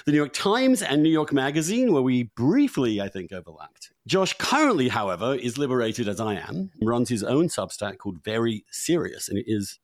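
A middle-aged man hosting a podcast in a chatty, unscripted way, halting and repeating words as he goes. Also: mid-range voice, very animated, dry recording. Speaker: podcast host.

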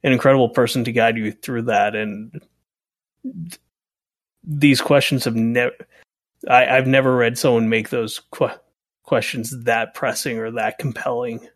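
A man's voice: medium pitch, steady tone, measured pace, no echo. A man on a podcast panel, speaking in a blunt, enthusiastic way. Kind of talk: casual discussion.

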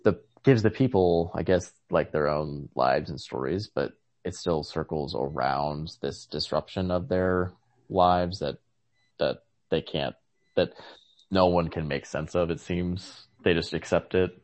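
Low-voiced man talking through ideas haltingly. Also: darkish tone. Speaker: podcast co-host. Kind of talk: casual book discussion.

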